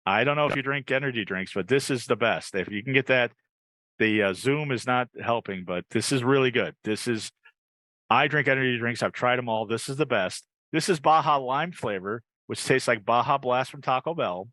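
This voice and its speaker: Low-voiced man hosting a podcast. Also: normal speed, a bit bright, no echo, low-quality recording. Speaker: podcast host. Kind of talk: casual podcast chat with live ad reads. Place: video call.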